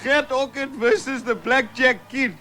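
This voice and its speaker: Shrill, manic evil quizmaster. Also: male voice, pushed and bright, heavily acted. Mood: grandly welcoming. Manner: rapid-fire, bossy questioning. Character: manic evil manager hosting a quiz show. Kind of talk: madcap 1970s radio comedy acting.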